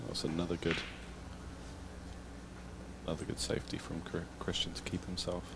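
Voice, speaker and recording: An English man doing snooker co-commentary in a low-key, measured way. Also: flat delivery, creaky, very noisy. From England.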